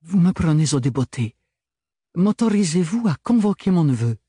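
A man's voice: on the high side, big pitch swings, moderate pace, mellow, husky, dry recording, clean studio sound, voice pushed up. A man voicing a proud, wary noblewoman who speaks in a cool, formal, guarded way.

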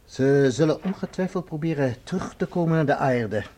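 A man's voice: on the high side, animated, a little fast, mellow. An actor playing an irritable professor in a science fiction radio drama, testy but articulate as he explains. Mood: reluctant, explaining. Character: irritable male professor advising his captor.

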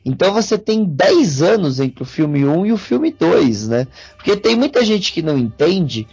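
A man's voice: sits high, very animated, moderate pace, a little mellow, breathy edge. A Brazilian man in a casual podcast discussion, explaining things in detail as a host. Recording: dull lo-fi audio with music.

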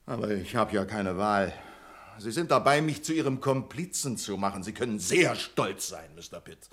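A man's voice: lowish voice, animated, moderate pace, fairly bright tone. A man acting in a German radio play as an exasperated, sarcastic bank branch manager, with indignant, dramatic emphasis. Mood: bitter.

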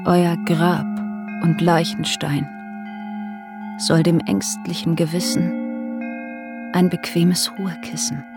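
A solemn mourner, radio play lament, really slow, lots of bell music behind. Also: female voice, lowish voice, level tone. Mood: solemn, mournful. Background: bell-like chiming music.

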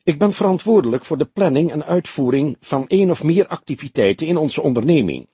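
Guy doing arrogant thirties businessman in an audiobook, snippy n impatient, really animated, bit raspy. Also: on the high side, no room sound, voice pushed up. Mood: haughty, indignant. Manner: snappy, impatient, haughty, fast-talking. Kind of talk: Dutch audiobook character dialogue.

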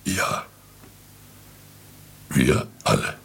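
An actor playing a drunken, ruined man in a radio drama, rambling theatrically about guilt in a gravelly, husky voice. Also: extremely slow, fairly bright tone. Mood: grave, accusing. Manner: theatrical, rambling, lurching between declamation and muttering.

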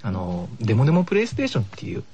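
A man's voice: mid-range voice, wildly swooping pitch, racing, dark tone, breathy edge. A man chatting casually as a radio guest, explaining things eagerly. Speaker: radio guest demonstrating a horror game. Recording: faint noise, radio broadcast.

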